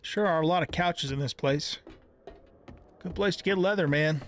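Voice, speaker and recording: A man's voice: on the high side, moderate pace, soft background music. A middle-aged man giving casual let's-play commentary, thinking aloud in a folksy, exclaiming way. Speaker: gaming channel host. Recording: clear background noise with clicks.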